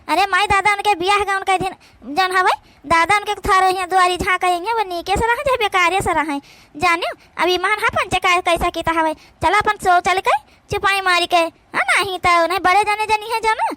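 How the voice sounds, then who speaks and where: Woman voicing a high, fretful village daughter-in-law. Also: bright, forceful tone, no room sound. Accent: Awadhi. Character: fretting, tender-hearted elder village daughters-in-law. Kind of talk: amateur cartoon voice acting.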